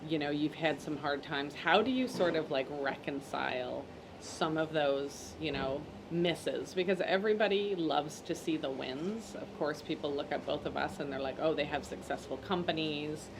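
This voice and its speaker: A woman's voice: sits low, normal speed, background noise. A woman interviewing a guest in an engaged, affirming way. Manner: engaged, affirming, uses metaphors. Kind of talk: interview conversation.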